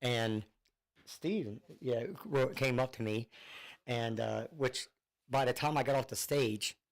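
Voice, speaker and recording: A man's voice: mid-range voice, slow pace, gravelly. A middle-aged man with a northern US accent, a singer guest on a radio show, chatting casually and rambling with many fillers and restarts, heard over a phone line. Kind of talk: casual radio interview chat. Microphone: Bluetooth phone line.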